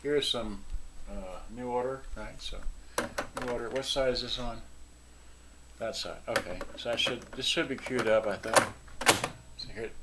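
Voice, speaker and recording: A man giving a casual, unscripted product demonstration, halting and scattered as he mutters to himself. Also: mid-range voice, fairly even intonation. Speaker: seller demonstrating vintage cassette radio. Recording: very noisy home video with clicks.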